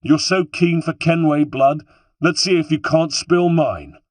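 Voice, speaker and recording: A man voicing a determined, vengeful young gentleman swordsman in an audiobook, with a roaring, demanding delivery. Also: fairly high pitch, a little slow, no echo, distant mic, voice pushed up, lightly acted. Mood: vengeful challenge.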